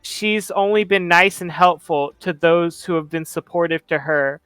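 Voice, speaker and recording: A man's voice: fairly high pitch, moderate pace, fairly bright tone, clean tone, dry recording, close to an everyday voice. A man voicing an earnest, kind teenage boy in a tabletop roleplay, pleading hesitantly. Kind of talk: tabletop roleplay character speech.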